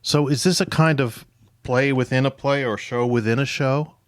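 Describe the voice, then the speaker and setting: A man's voice: medium pitch, moderate pace, no echo, studio quality. A man hosting an interview show, asking questions in a conversational way. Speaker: podcast host interviewing experts.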